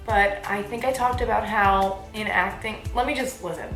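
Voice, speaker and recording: A young woman talks casually to her viewers, handing out advice in an animated, bubbly way. Her voice sits at a medium pitch for a woman. The room adds noticeable echo, and soft music plays faintly underneath. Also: moderate pace. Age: 20s. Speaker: vlogger giving application advice. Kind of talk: casual chatty advice vlog.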